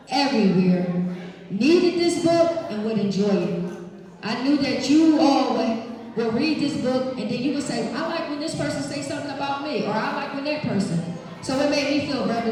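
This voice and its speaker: Female children's author, high voice, slangy and direct with pupils, recorded at a distance in a reverberant, noisy school hall. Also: darkish tone. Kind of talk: live question-and-answer talk to schoolchildren. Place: large echoing room in a school. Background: children's crowd noise.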